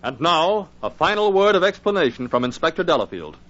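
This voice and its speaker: High, animated male radio announcer. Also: brisk. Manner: dramatic, addressing listeners. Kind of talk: old-time radio announcing. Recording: muffled old radio recording. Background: organ music.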